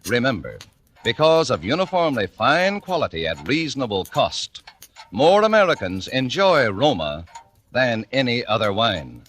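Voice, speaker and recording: A man's voice: mid-range voice, big pitch swings, fairly bright tone. A man working as a sponsor's announcer, giving a polished, scripted 1940s radio advertising read. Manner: polished, measured salesmanship. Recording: faintly noisy 1940s radio recording.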